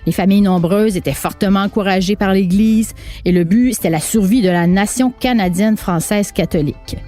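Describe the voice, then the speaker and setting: Mellow-voiced woman narrating a documentary. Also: medium pitch. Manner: measured, documentary tone. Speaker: podcast narrator. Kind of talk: scripted narration.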